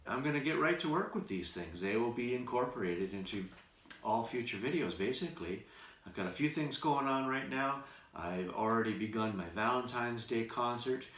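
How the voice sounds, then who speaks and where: A man giving a casual unboxing commentary to camera at home, speaking in deliberate, piecemeal phrases. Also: fairly low pitch, a bit bright. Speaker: vlogger, radio show performer. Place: room in his house. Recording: roomy echo, distant mic, faint noise.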